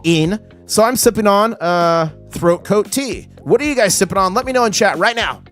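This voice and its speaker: A man hosting a casual livestream, his voice hoarse, talking with excitable hype-man energy. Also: high voice, moderate pace, faint music behind. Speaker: livestream host.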